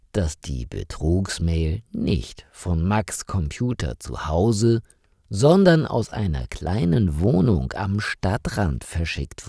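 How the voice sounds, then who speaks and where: A man reads a story aloud at a slow, deliberate pace, his pitch rising and falling broadly to carry the plot. His voice sits somewhat low. The recording is dry and close, with no room sound. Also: no room sound. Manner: deliberate, clearly articulated, lively intonation. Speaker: narrator of German learner stories. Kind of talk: slow scripted story narration for learners.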